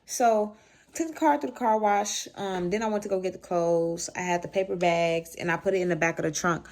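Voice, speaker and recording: Casting: American woman vlogger, low voice, loose slangy storytime delivery with animated asides. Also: brisk. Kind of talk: casual storytime chat to camera.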